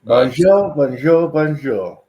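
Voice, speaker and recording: A man's voice: on the high side, lively intonation, takes it slowly, lo-fi sound. A man hosting an early-morning casual stream chat, joking and blunt. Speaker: streamer host.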